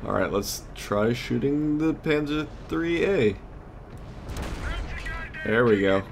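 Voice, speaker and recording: A man's voice: mid-range voice, expressive, slow. A man giving casual gameplay commentary as a let's play host, muttering and exclaiming as he plays. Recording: clear background noise, game ambience.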